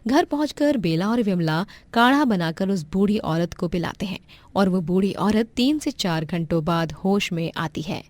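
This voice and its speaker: A woman's voice: medium pitch, lively intonation, moderate pace, darkish tone, no echo. Woman storyteller, dry close sound. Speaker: story narrator. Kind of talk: scripted narration of a moral story.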